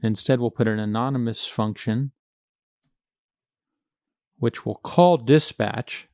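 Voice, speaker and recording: A man narrates a programming tutorial step by step in a methodical, plain-spoken way. His voice sits at an average pitch, and the recording is dry with very little room sound. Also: slow, darkish tone, no room sound. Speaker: coding tutorial instructor. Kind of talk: screencast coding walkthrough, explaining step by step.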